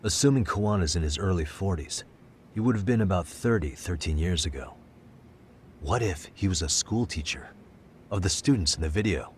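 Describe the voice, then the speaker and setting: An actor playing a calm, sharp detective in an English game dub, measured and thoughtful as he reasons through a case. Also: sits low, slight background noise. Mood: analytical. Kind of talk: English video game dub cutscene acting.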